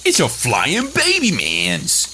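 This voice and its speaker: A man's voice: medium pitch, huge pitch swings, slow, pushed and bright, slight background noise, exaggerated voice. A man playing a resentful, mouthy wasteland refugee in a comedic radio serial, sneering and indignant.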